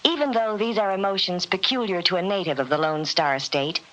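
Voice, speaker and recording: A woman's voice: fairly low pitch, fairly quick, fairly bright tone. A woman reading a scripted promotional narration in a vintage radio spot. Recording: dull vintage radio recording.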